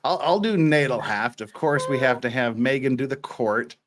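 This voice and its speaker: A man's voice: medium pitch, moderate pace, noisy background. A man hosting a livestream, bantering in a jokey way and reading court transcripts aloud. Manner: jokey, animated. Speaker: livestream host.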